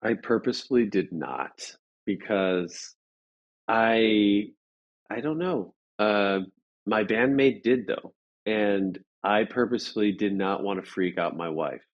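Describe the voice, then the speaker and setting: Low-voiced man telling a story casually. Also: highs cut off. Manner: halting, rambling, with repeated phrases. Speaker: interview guest.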